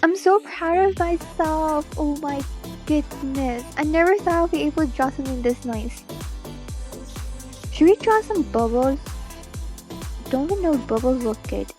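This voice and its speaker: High-pitched woman, unhurried American-accented streamer. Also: lively intonation, a little mellow, soft background music. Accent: mostly American. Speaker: streamer, digital artist. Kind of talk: casual livestream chat while drawing.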